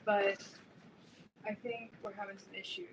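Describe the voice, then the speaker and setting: A woman's voice: mid-range voice, little pitch movement, measured pace. A playful, giggly woman talking casually and off the cuff. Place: indoor live venue. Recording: echoey noisy room, distant mic. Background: loud room noise.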